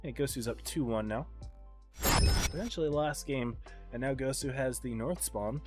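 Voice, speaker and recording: A man casting an esports match on a live stream, congested and tired, calling the play in a relaxed, subdued way. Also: mid-range voice, moderate pace, loud music behind the voice. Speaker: esports caster.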